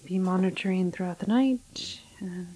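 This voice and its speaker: A woman playing a detached female doctor in an ASMR roleplay, dictating a medical log softly and haltingly, often half in a whisper. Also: on the low side, natural voice, low-quality recording. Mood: clinical, observing. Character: clinical female doctor logging a doomed quarantined patient.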